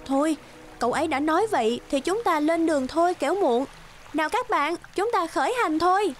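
A woman's voice: very high voice, lively intonation, fairly quick, soft background music, voice pushed up. A woman voicing a concerned, sensible swan from a migrating flock in a cartoon tale, earnest and urging. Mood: resigned, urging.

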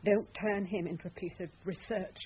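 A woman's voice: mid-range voice, fairly even intonation, moderate pace, close to an everyday voice. An actress playing a jaded, hard-drinking, scornful woman in a radio drama. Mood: cool, bitter. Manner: sardonic, dismissive. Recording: muffled phone-like old radio recording, faint noise.

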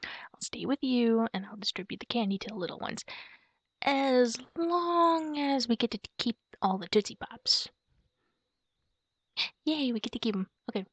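A young woman playing a playful, excitable girlfriend in a roleplay audio, speaking softly and hesitantly as if musing aloud to her partner. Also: a little high, a little slow, long pauses, breathy edge, no echo. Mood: musing, upbeat. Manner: giggly, cutesy, soft and hesitant, musing aloud. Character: playful, excitable werewolf girlfriend shopping for Halloween costumes. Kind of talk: girlfriend roleplay ASMR, improvised-sounding monologue to a partner. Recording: dull lo-fi close dry recording.

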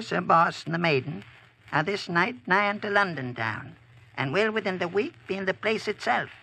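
A creaky, sharp-edged wizard plots in a sly, theatrical hush of archaic English. His voice sits a little high, and it comes from a vintage radio drama. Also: heavily acted, poor audio. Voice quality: raspy, creaky. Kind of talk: 1940s radio acting, archaic speech.

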